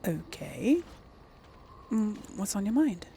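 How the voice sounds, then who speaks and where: Casual woman gamer, clear background noise. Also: mid-range voice, big pitch swings, slow, dark tone. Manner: informal thinking aloud. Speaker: gaming video host. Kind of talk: casual game commentary.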